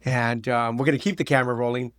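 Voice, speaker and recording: A man's voice: medium pitch, fairly even intonation, fast, fairly bright tone, dry recording, clean studio sound. A man hosting a podcast with his daughter, chatting loosely and joking with many fillers. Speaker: podcast host. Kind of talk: casual chat.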